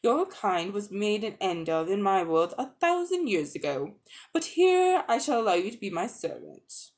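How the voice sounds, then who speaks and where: A woman's voice: medium pitch, sing-song, moderate pace, lightly acted. A woman voicing a haughty, scornful witch queen who commands with contempt in a dramatic storybook reading.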